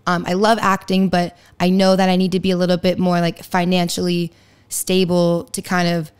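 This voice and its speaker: Young woman in her twenties, raspy but smooth, chatty podcast guest. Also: lowish voice, monotone, normal speed. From Pittsburgh area, US. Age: 20s. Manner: chatty, lots of likes and laughs. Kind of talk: casual interview chat.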